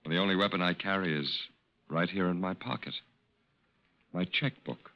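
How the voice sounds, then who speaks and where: A man's voice: deep voice, normal speed. A man playing an urbane, unruffled confidence man posing as an army major, in 1940s radio drama style. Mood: smooth, feigned innocence. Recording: dull, band-limited old radio recording.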